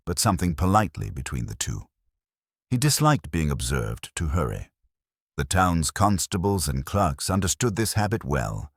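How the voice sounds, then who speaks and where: A man with an extremely low voice narrates a literary mystery. His delivery is measured and wry, with plenty of melodic movement. The sound is dry and close. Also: slightly rough, no echo. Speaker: narrator of a mystery audio drama.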